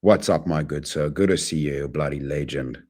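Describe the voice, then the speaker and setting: A man chatting casually on a live stream, talking to his chat in a rambling way that swings from loud to mumbled. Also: rumbling low, fairly quick.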